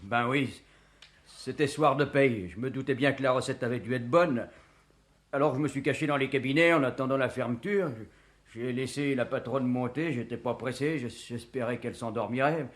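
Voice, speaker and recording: A man's voice: mid-range voice, moderate pace, lightly acted. A man playing a cornered small-time crook in a crime radio play, pleading innocence in slangy, working-class speech. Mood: confessional. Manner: slangy, working-class, rambling defensive confession. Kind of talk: French radio crime drama acting.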